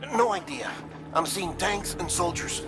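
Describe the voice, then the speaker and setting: A man's voice: sits high, lively intonation, a little slow, loud music behind the voice. An actor playing an anxious, lonely, eccentric man in a cinematic video game, wordy and hesitant, fretful one moment and tender the next. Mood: anxious, relieved.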